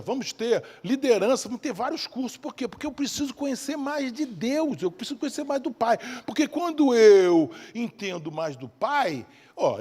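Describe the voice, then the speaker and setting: A man with a Rio de Janeiro Brazilian accent and a husky voice preaches an evangelical sermon emphatically, repeating key phrases and asking rhetorical questions. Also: high voice, a little mellow. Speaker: preacher, bishop. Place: church service in a large hall. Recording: reverberant hall sound.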